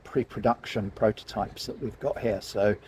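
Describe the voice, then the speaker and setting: A man's voice: fairly low pitch, steady tone, moderate pace, darkish tone, husky. A man giving a casual, explanatory product review, speaking informally and directly to viewers. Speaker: vlog host and product reviewer.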